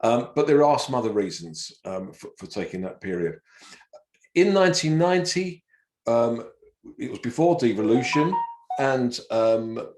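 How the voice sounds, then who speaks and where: A man's voice: fairly low pitch, darkish tone. An older man giving an informal academic talk in a hesitant way, often stammering and repeating words. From not from Wales. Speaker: professor giving a lecture. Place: indoor room on a video call. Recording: roomy, echoing webinar audio.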